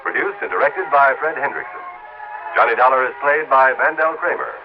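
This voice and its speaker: A man's voice: mid-range voice, a little fast, dull audio, music in the background. A man announcing in the scripted style of a 1950s radio broadcast, reading like a commercial and credits announcer. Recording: noisy, band-limited 1950s radio recording.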